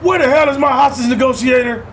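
A man playing a foul-mouthed police lieutenant in an action-parody radio play, blustering and yelling in exasperation. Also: very high-pitched, quick pace, a little hiss or hum. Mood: furious.

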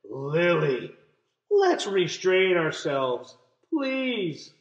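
A man's voice: high voice, very animated, very slow, dull audio, full character voice. A man voicing doting mouse parents from a picture book, who gush and chide with exaggerated emphasis. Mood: chiding. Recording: roomy, echoey room sound.

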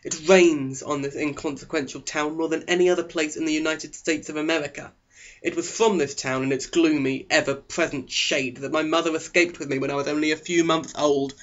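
Sarcastic man reading, higher-pitched. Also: fairly bright tone. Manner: sarcastic, melodramatic. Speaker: audiobook reader. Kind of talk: sarcastic scripted narration. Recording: dull, lo-fi sound.

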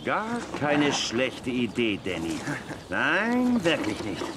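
An actor playing a determined, level-headed sheriff in a Western radio play, decisive and matter-of-fact. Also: male voice, medium pitch, huge pitch swings, takes it slowly. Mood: resolute. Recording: noisy outdoor sound effects.

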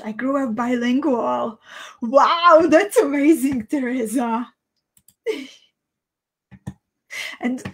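Slow-talking Hungarian-accented woman, higher voice. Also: breathy edge. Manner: halting, thinking aloud. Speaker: host of a live beading tutorial. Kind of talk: casual livestream chat. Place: small indoor craft room.

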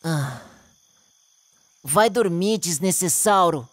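A man's voice: sits high, expressive, takes it slowly, dry recording, heavily acted. A man with a Brazilian accent voicing a weary, exasperated big cartoon dinosaur who sighs and complains plaintively. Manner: sighing, plaintive, long-suffering. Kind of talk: cartoon voice acting.

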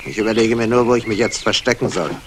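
A man's voice: fairly low pitch, steady tone, quick pace, close to an everyday voice. An actor playing an irritable man in hiding in a 1960s German radio crime play, peevish and complaining. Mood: uneasy, defiant. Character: grumbling, irritable male fugitive in hiding. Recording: noisy old radio play recording.

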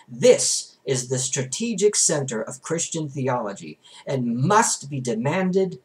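Young man reading aloud with swooping pitch. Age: young adult. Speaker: reader, vlogger. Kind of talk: expressive book reading aloud. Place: small bedroom, indoors.

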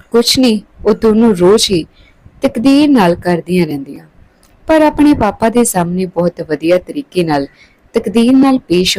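Mellow, low-toned woman storyteller. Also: no room sound, poor audio. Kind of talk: scripted story narration.

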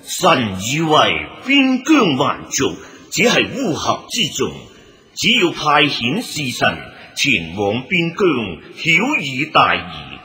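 A man's voice: medium pitch, wildly swooping pitch, takes it slowly, pushed and bright, heavily acted. An actor playing a scheming court chancellor in a radio drama, formal and oratorical, drawing out his phrases. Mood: smoothly persuasive. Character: scheming, smooth-talking imperial chancellor urging appeasement. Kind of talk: Cantonese radio drama acting.

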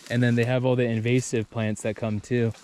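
Hawaii guy vlogging outdoors, hyped up, middle pitch, bit of background hiss. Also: flat delivery, a little fast, a little mellow. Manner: enthusiastic, exclaims often, laughs. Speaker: vlog host. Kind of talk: casual on-camera vlog narration. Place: outdoors on a mountain hike.

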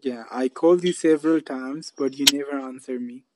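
A man's voice: mid-range voice, a little fast, very noisy. Man hosting an English practice call. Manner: simple non-native English, repeats phrases. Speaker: YouTube channel host. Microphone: video call.

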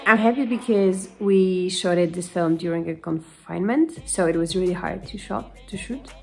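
Woman, 30s, low gravelly voice, earnest, small slips in English, webcam, faint music behind. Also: normal speed. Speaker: film director. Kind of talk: video call interview. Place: home room indoors.

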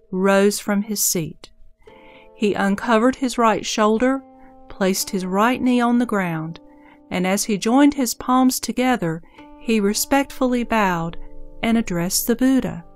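A woman narrates a scripture audio drama in a mid-range voice. Her storytelling is formal and measured, with gentle plucked-string music underneath. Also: mid-range voice.

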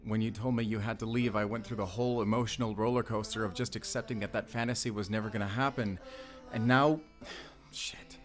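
Music plays behind a fairly low male voice. It is a man acting a tender, vulnerable forest-dwelling father in an intimate audio roleplay. He confesses his love haltingly and softly hesitant. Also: steady tone, close to an everyday voice. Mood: yearning.

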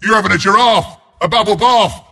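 An actor voicing a scrappy, cocky porcupine brawler as a video game announcer, with a London Cockney accent, a gravelly rasp and cheeky, blunt banter. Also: male voice, high-pitched, brisk, sharp tone, full character voice. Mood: indignant, incredulous. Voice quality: gravelly, raspy.

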